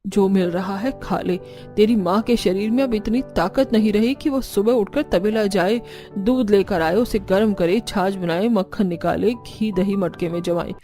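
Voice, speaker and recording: A woman's voice: mid-range voice, moderate pace, warm tone, lightly acted. A woman playing an aging, weary, complaining mother-in-law in a cartoon. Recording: background music.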